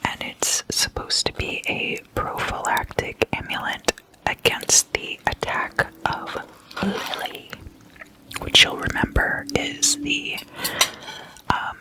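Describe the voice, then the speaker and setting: Hushed whispering ASMR host, very slow. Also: low-quality recording. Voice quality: breathy unvoiced whisper. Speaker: ASMR host explaining demon folklore.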